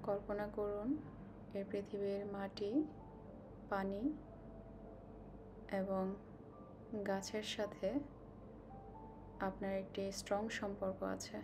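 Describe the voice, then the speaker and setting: A woman guides a meditation slowly and calmly, giving instructions with long pauses. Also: medium pitch, music in the background. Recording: clearly noisy, ambient sound behind voice.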